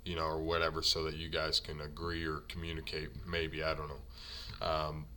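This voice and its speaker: A man who is a native English speaker teaches ironworker trade vocabulary from slides, saying each term several times slowly for learners before explaining it. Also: rumbling low, monotone, bright, forceful tone. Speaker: ironworker union instructor. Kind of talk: slide-based vocabulary lesson.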